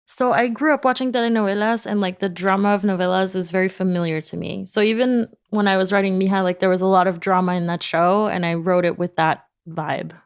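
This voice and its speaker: A woman's voice: mid-range voice, fairly even intonation, brisk, few pauses, clear, resonant tone, no room sound, studio quality. A woman speaking casually as an interview guest, explaining things informally and sprinkling in "like". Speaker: podcast company founder, guest.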